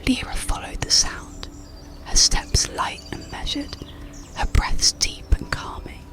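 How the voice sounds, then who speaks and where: A storyteller narrating an ASMR bedtime story in a soothing, deliberate whisper. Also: over music. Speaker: audiobook storyteller.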